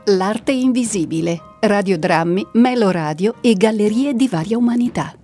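A female radio announcer with a soft, mellow tone and medium pitch reads scripted closing credits. Faint music plays behind her. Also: moderate pace.

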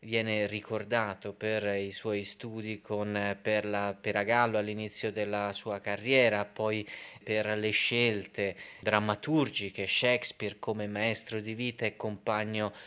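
A man hosting a radio programme, introducing it in a measured, explanatory way with hesitant pauses. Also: lowish voice, flat delivery, bright, forceful tone, smooth. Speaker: radio host.

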